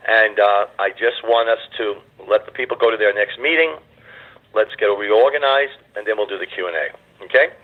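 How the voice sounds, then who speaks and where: Hoarse man, call host, rambling with uhs, tinny phone line. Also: fairly low pitch, moderate pace. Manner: rambling, reassuring, with filler uhs. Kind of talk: conference call hosting.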